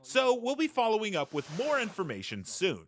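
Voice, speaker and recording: A man interprets in an interview in a fairly high voice with very lively intonation. His delivery is polite and formal, set out as a translated statement. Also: fairly quick.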